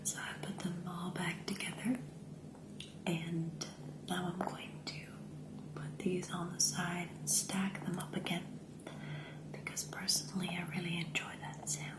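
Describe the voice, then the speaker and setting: A woman from the Netherlands whispering slowly for an ASMR video, getting a cold, recorded on a binaural mic at home. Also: on the low side, monotone, echoey room, low-quality recording. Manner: slow, soft whisper, describing what she does. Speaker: ASMR creator. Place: home room with glossy floor.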